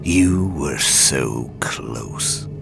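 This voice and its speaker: Man playing a sinister, very deep ship AI. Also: lively intonation, extremely slow, vocal fry, music in the background, exaggerated voice. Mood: menacing, taunting. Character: sinister taunting male ship artificial intelligence.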